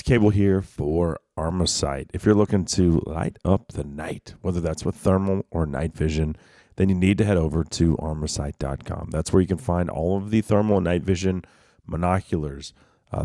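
A man's voice: low voice, dark tone, no echo, clean studio sound. A man hosting an outdoor radio show, chatting casually and reading sponsor spots in an easygoing way. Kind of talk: casual radio chat and live ad reads.

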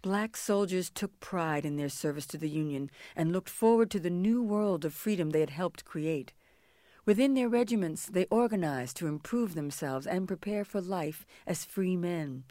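A woman's voice: lowish voice, mellow, no echo, clean studio sound. A woman giving scripted historical narration as the narrator of a dramatic reading.

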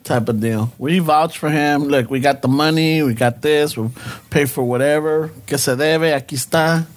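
High-voiced joking man. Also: moderate pace. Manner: joking, slangy, slips between English and Spanish. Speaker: podcast co-host. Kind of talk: casual chat.

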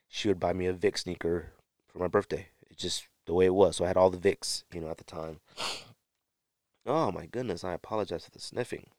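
American male podcast host, middle-aged, lowish register, dry close sound, slangy and conversational. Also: a little mellow, dry recording. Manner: conversational, slangy, emphatic repetition. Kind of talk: casual solo podcast talk.

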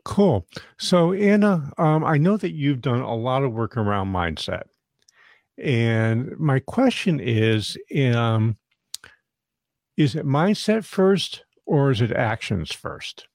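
A man's voice: mid-range voice, sing-song, slow, creaky, a little mellow, no echo, clean studio sound. A man hosting a podcast interview, talking in a friendly, rambling way and often restarting his sentences. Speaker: podcast host.

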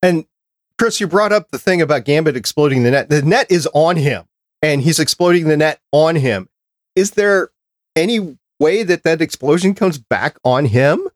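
Male podcast host, mid-pitched, conversational, very dry sound, casual review chat. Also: expressive, normal speed, no echo. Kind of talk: casual panel discussion, reviewing a cartoon.

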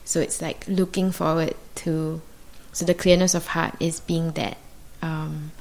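A woman with a low voice and Mandarin as her first language, thinking aloud as a guest. She speaks thoughtfully and hesitantly, pausing often and reaching for the right words. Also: level tone, gravelly, a little mellow, poor audio. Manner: thoughtful, searching, many pauses and fillers. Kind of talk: reflective conversation.

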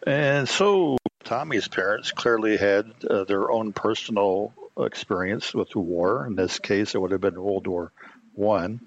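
A man giving explanatory commentary as a host, in a halting, reflective manner. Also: lowish voice, gravelly. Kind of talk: explanatory commentary on old radio. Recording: slightly muffled, dull sound.

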